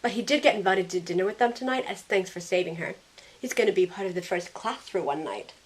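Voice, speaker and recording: A woman's voice: lowish voice, fast talker, natural voice, low-quality recording. A young woman playing a sassy, loyal modern heroine, narrating in the first person in a wry, conversational way. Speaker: narrator and lead character. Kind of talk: first-person story narration with in-character dialogue.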